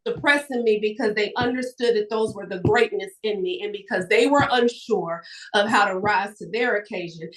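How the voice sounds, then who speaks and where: A woman speaking as a guest in a motivational live conversation, with an emphatic, projecting, animated storytelling delivery. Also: medium pitch, moderate pace, low-quality recording. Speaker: guest author and entrepreneur.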